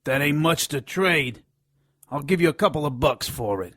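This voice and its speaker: An actor playing a lecherous, sardonic gnome informant in an audio drama, leering and wisecracking in a gravelly voice. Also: male voice, a little high, expressive, normal speed, fairly bright tone, no echo, studio quality. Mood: grumbling, mocking.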